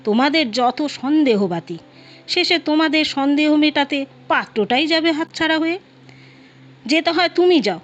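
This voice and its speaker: A woman's voice: high-pitched, animated, moderate pace. A woman storyteller voicing an irritable middle-aged husband and father in a testy, exasperated manner. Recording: dull lo-fi audio, music behind.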